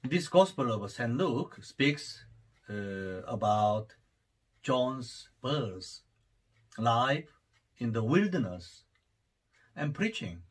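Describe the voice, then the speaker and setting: A husky-voiced man in his forties with a Korean accent delivers a homily to camera. His pace is very slow and deliberate, with many hesitations. He speaks at an ordinary pitch for a man. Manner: unhurried, many hesitations, rising fervor. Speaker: priest. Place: study with bookshelves, indoors. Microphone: camera at desk distance.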